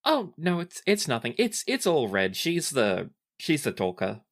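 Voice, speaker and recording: A man's voice: on the high side, huge pitch swings, unhurried, pitched above the performer's own voice, heavily acted. A man voicing a shy, eager young female gecko inventor who talks in modest, hesitant bursts. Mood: bashful. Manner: hesitant, modest, stammering repeats.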